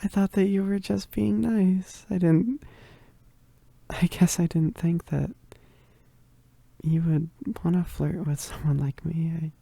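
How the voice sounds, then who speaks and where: A woman playing a shy, flustered young werewolf roommate in a romantic ASMR roleplay, halting and stammering in a soft, breathy voice, often whispering, close to the mic. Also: fairly low pitch, steady tone, no echo, full character voice. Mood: surprised, apologetic. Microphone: close microphone.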